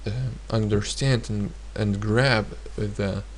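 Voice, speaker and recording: A man teaching a grammar lesson in a hesitant way, pausing often and repeating each example sentence. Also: on the low side, steady tone, slight background noise. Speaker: language teacher.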